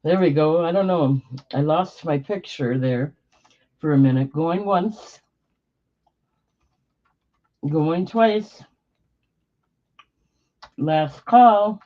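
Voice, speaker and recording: A hoarse woman running a live-stream auction, chatty and unhurried, pausing to cough. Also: low voice, warm tone. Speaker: online auctioneer. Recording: dull lo-fi live-stream audio.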